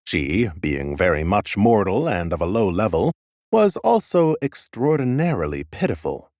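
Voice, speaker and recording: Imperious scholarly lich narrator. Pompous, deadpan wit, swooping intonation, dry close studio sound. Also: male voice, fairly low pitch, normal speed, dry recording. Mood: dry, measured. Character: haughty, dry-witted male lich sorcerer, bored scholarly master. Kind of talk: scripted first-person audiobook narration and dialogue.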